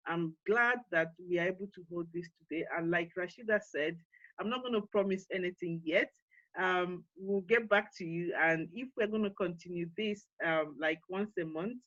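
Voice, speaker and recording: Nigerian woman, summit host; encouraging, halting delivery full of fillers; muffled video-call sound. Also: lowish voice, a bit bright, no room sound. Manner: earnest, encouraging, with frequent fillers and restarts. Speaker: forum co-founder and CEO hosting an online summit. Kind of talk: panel talk and closing remarks.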